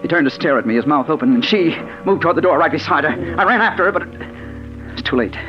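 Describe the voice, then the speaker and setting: An actor with an American accent playing an intense, haunted crime reporter in a 1940s radio drama, urgent and brooding. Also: male voice, on the high side, lively intonation, quick pace, over music, lightly acted. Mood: brooding, tense. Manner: urgent, swinging from brooding narration to heated outbursts. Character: intense, hard-boiled male crime reporter, haunted and impatient. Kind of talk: 1940s radio drama acting, first-person narration. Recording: muffled, noisy old 1940s radio recording.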